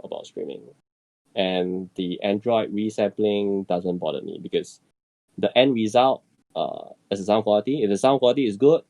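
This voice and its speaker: A male panelist with a low, gravelly voice talks casually. He often doubles and triples small words as he works toward his point. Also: dark tone, rough recording. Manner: stammering repetition of words. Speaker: podcast panelist. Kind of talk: casual chat.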